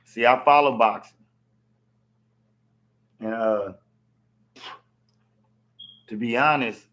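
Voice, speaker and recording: A man with a hoarse voice from yelling, ranting bluntly to camera about a boxing match and swearing freely. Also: medium pitch. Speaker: vlogger reacting to a boxing match. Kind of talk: casual rant to camera.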